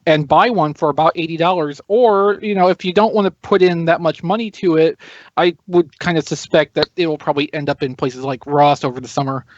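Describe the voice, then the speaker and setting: A man's voice: on the high side, steady tone, a little fast, muffled recording. A man co-hosting a podcast in casual chat, with a dry, joking manner. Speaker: podcast co-host.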